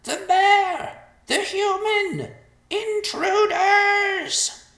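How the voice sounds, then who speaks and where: A man voicing an excitable, fearful, pleading vulture in a storybook, with a shrill, strained voice. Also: slow, echoey room, heavily acted. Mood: shrieking alarm. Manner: exclamatory, repeats words in agitation. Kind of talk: character voice in storybook narration.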